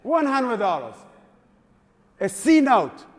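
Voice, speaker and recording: A man's voice: very high-pitched, huge pitch swings, slow pace. A man emceeing a live fundraising appeal in a synagogue hall, coaxing and playful with the crowd. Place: synagogue hall at an evening event.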